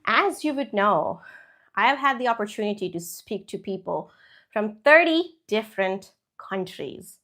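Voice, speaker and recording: A woman's voice: mid-range voice, measured pace. Chatty woman teaching. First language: Hindi. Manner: chatty, animated, frequent asides and tag questions. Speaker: English teacher. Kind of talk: live stream English lesson.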